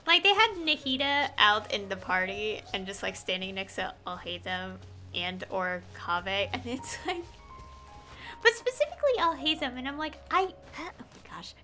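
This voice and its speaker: A woman streams a game with casual, rambling commentary. Her voice is high and bright with very animated pitch, giggly and prone to trailing off. Faint game music plays behind her. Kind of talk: casual live gameplay commentary.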